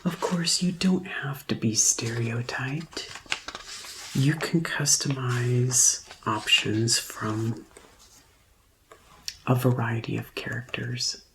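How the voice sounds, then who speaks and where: A woman doing a soft-spoken, often whispered ASMR roleplay as a gentle, deliberate receptionist attending to a customer. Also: rumbling low, fairly even intonation, noisy background. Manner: slow, gentle, deliberate customer-service delivery. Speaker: ASMR performer playing a vampire conversion receptionist.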